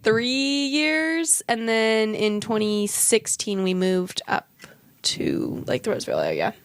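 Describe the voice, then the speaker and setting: A woman's voice: on the high side, very animated, slow pace, no room sound. A woman in her twenties from Hawaii, chatting casually on a podcast and rambling through her childhood story with fillers. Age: 20s. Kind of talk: casual chat.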